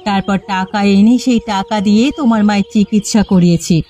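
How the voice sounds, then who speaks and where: A woman playing a frank, supportive village neighbour in a family cartoon, speaking firmly and in a measured way. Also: medium pitch, steady tone, dark tone, faint music behind, natural voice. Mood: firm, reproachful. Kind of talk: cartoon family comedy acting.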